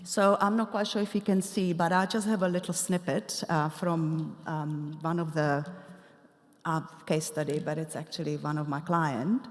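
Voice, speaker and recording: The long reverb of a big hall surrounds a woman presenting at a conference. She speaks in a lowish voice with an Eastern European accent. Her delivery is explanatory and a little halting, like a coach walking through prepared notes with asides. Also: flat delivery. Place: large indoor conference hall.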